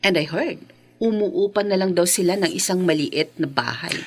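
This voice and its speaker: A woman's voice: fairly low pitch, moderate pace. Wealthy, vengeful woman in radio drama. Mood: smug, bitter. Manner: mixes English phrases into Tagalog. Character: wealthy, vengeful, self-assured young woman back home. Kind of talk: Philippine radio drama acting.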